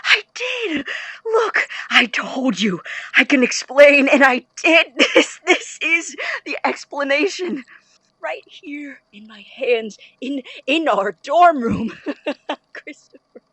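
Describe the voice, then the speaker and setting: A woman playing an obsessive, defiant young male student scientist in an audio drama, with intense formal diction and a husky, breathy voice. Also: high-pitched, sing-song, slow pace, bright, forceful tone, no room sound, full character voice. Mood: manic triumph. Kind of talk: audio drama acting, recorded-diary narration.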